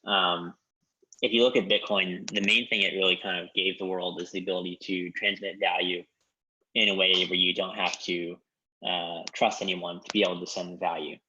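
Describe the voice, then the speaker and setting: A man explaining in a measured way on a conference call, with frequent ums and you-knows. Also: sits low, little pitch movement, bright, forceful tone. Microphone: conference call line. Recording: dull lo-fi conference call audio.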